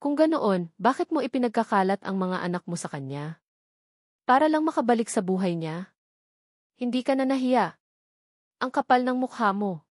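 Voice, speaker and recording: A woman's voice: a little high, animated, normal speed, pauses often, a little mellow, no room sound, clean studio sound. A Filipino woman storyteller voicing a bitter, jealous wife, angry and accusing, with a scornful, confrontational manner.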